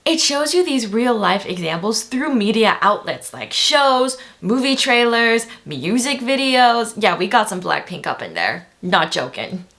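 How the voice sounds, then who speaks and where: Lively, high-voiced woman teaching. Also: measured pace, hardly any pauses. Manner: chatty, playful, addresses viewers as "you guys". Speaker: language teacher. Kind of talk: upbeat video lesson.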